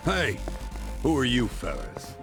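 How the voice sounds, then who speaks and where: An actor voicing a suspicious, menacing gangster guard in a video game dub, sneering and confrontational. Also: male voice, mid-range voice, huge pitch swings, slow, over music, heavily acted.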